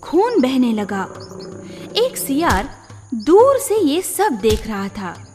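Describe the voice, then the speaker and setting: A woman storyteller reading scripted children's tales in a deliberate, expressive manner. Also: high-pitched. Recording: long reverb, music behind.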